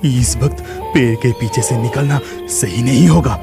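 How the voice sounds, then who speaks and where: An actor playing a brave but anxious young village lad, thinking aloud dramatically in a cartoon horror story. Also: male voice, medium pitch, moderate pace, vocal fry, over music, lightly acted. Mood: fearful, cautious.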